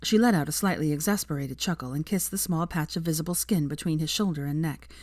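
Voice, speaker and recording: A woman's voice: deep voice, fast, darkish tone, no echo, clean studio sound. A woman reading fiction aloud as an audiobook narrator, in scripted storytelling style.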